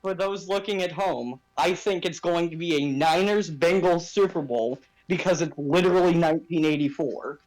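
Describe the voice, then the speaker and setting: A man with a notably high voice hosts a casual sports podcast. He talks informally and puts emphasis on his points, as in a chat between friends. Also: normal speed, few pauses, a little mellow, rough recording.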